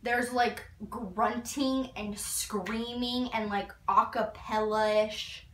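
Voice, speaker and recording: A woman's voice: fairly high pitch, fairly even intonation, extremely slow, a bit bright, slight background noise. A teenage girl gushing through a casual album review in her bedroom, full of "like", filmed by a camera a few feet away. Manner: gushing, filler-heavy with frequent "like". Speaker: vlogger. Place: bedroom, indoors. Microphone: fixed camera a few feet away. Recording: roomy, slightly distant.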